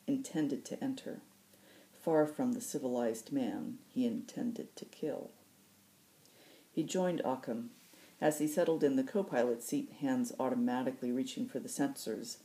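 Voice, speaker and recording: Female storyteller, markedly low register, mellow tone, reading novel excerpts as host. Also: low-quality recording. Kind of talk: audiobook-style fiction narration.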